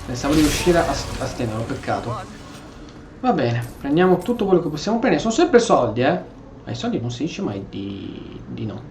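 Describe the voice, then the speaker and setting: A man's voice: mid-range voice, very animated, fast talker, music in the background. An Italian man giving casual gameplay commentary, informal and sweary, reacting and replying to the game. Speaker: gaming streamer. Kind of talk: casual Let's Play commentary with role-played replies. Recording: roomy echo, clear background noise.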